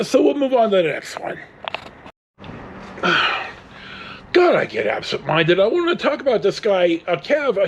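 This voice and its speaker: A man's voice: fairly high pitch, vocal fry, slight background noise. A middle-aged American man tells rambling casual stories in a blunt, salty way. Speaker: storyteller vlogger.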